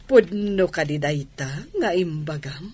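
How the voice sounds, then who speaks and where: Woman acting husky, slow-spoken, trusting wife. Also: low-pitched, a little mellow. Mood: affectionate, teasing. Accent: Ilocano Filipino. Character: trusting wife who owns a restaurant, doting on younger lover. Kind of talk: Ilocano radio drama acting.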